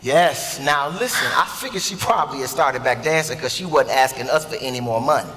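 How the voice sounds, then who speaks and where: An actor playing a husband confessing a story to his wife, animated and playful, recorded live on a large theater stage. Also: mid-range voice, fairly quick, lightly acted. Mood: storytelling. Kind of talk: live stage play acting. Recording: reverberant theater hall.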